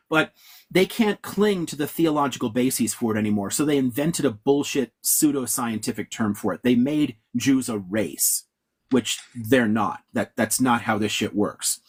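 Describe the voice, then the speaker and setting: A man talks through history in a frank, lecturing way, swearing freely and stacking up emphatic asides. His voice has a husky, slightly breathy edge, and he sounds like he is on a video call. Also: mid-range voice, darkish tone. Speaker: guest historian. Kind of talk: conversational interview answer.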